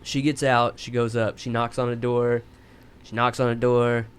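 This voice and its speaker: A man's voice: mid-range voice, level tone, normal speed, clear, resonant tone. Rambling, profane man telling a story. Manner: rambling, profane, self-mocking. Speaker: podcast co-host telling a story. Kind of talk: casual storytelling.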